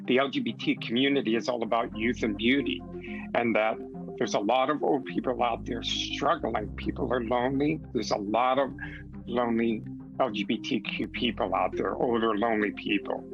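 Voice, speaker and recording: Guy talking real earnest in an interview, kinda halting, music underneath. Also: medium pitch, gravelly, muffled recording. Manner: earnest, reflective, halting restarts. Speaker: magazine editor, interview guest. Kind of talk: candid interview.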